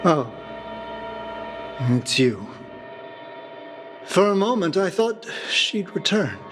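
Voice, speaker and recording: An actor playing a wistful man mourning a lost friend in a game, rambling gently. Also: high voice, big pitch swings, slow pace, exaggerated voice. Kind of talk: game cutscene voice acting. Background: game soundtrack music.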